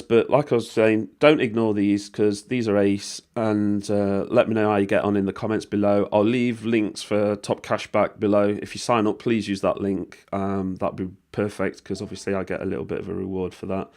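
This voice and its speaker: British man, hoarse from a cold, lowish voice, loose rambling delivery, casual swearing, screen-recording walkthrough. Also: steady tone, moderate pace, darkish tone. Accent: British English. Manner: rambling and informal, with swearing and many fillers. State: losing his voice from a cold. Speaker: vlogger explaining money-making offers.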